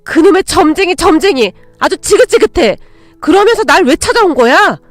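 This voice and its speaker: A woman's voice: sits high, big pitch swings, a little fast, dry recording. A woman voicing a resentful, wounded young new mother in a dramatized story, with an emotional delivery. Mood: exasperated, furious.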